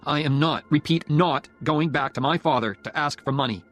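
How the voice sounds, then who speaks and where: A man's voice: medium pitch, a little fast, lightly acted. A man voicing a rebellious young law student in an audiobook, with an earnest, emphatic delivery. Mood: angry, defiant. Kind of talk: audiobook character dialogue.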